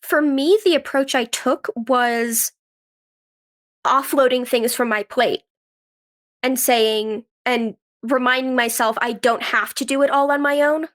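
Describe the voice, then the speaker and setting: A woman's voice: high voice, steady tone, a little slow, a bit bright. A young woman answering interview questions in a casual, conversational way, telling stories and often saying "like" and quoting her own thoughts. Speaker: podcast guest, actress and writer.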